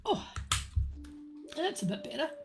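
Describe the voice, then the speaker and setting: Middle-aged woman host, slightly croaky, slow meandering delivery, soft music under. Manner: slow, meandering, many fillers and restarts. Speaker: livestream host answering viewers. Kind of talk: casual live chat about tea.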